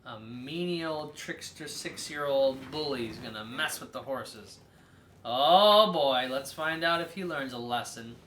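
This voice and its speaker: A person reading a children's story aloud as host, in a theatrical, drawn-out way with emphatic stresses. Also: mid-range voice, pushed and bright, echoey room.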